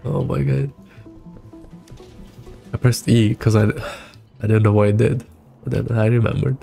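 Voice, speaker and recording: A man's voice: mid-range voice, fairly even intonation, a little slow, vocal fry, dark tone. A young man who is a bit sick, making laid-back, casual gameplay commentary in muttered asides. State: sick with a cold. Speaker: streamer reacting to a game. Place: small indoor room. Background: game music.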